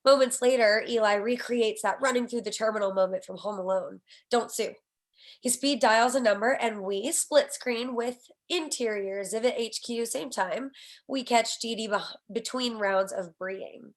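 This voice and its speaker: A woman's voice: fairly high pitch, normal speed. A woman reading stage directions as scripted narration at a screenplay table read.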